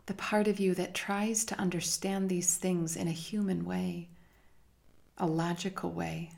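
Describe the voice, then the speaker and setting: A woman leading a guided meditation in a measured, hushed manner with long pauses between phrases. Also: on the low side, level tone, vocal fry. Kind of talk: slow guided meditation narration.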